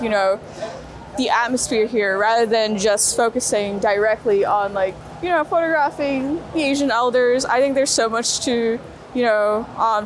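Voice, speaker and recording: Young brooklyn-raised woman, kinda high voice, slangy casual chat, lots of likes, traffic behind her. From Brooklyn, New York. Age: young adult. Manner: informal, slangy, frequent like and you know. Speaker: street photographer being interviewed. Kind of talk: casual chat while walking. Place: outdoors on busy city streets. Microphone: wireless clip-on mic. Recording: noisy city street with traffic.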